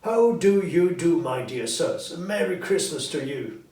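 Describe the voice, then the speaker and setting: A man voicing a joyful, excitable old miser newly reformed, in an exclamatory storybook reading. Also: on the high side, a little slow, voice pushed up. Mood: warm greeting. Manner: exclamatory, gleeful. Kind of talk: audiobook character acting. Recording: roomy echo, distant mic, faint noise.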